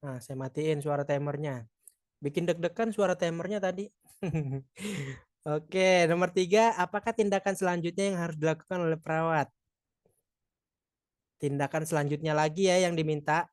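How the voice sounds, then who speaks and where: A man's voice: on the high side, moderate pace, no room sound, clean studio sound. A man from Madura with a Madurese accent, tutoring a live online exam practice session, chatty and encouraging, joking with participants.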